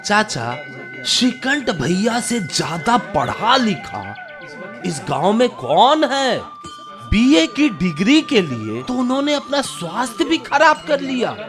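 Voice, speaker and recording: A talkative villager in a radio play, full of admiration. He speaks slowly and emphatically, with lively swings of pitch and faint music behind. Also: full character voice. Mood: admiring. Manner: animated, emphatic. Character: chatty villager, admiring and animated. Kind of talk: Hindi radio drama acting.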